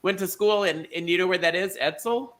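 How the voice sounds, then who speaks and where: A man hosting an online bingo show, teasing his guests with quick, campy asides. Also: sits high, a bit bright.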